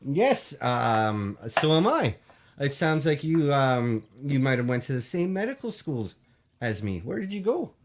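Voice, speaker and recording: Male host, deadpan, hesitant, stop-start phrasing, mid pitch. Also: a little slow, a crowd in the background. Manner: hesitant, full of ums and restarts, straight-faced. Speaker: call-in show host. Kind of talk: deadpan comedy banter.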